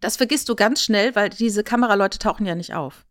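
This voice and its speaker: A woman's voice: mid-range voice, brisk. A woman co-hosting a podcast in casual chat, explaining things in a chatty, lightly ironic way. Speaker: podcast co-host.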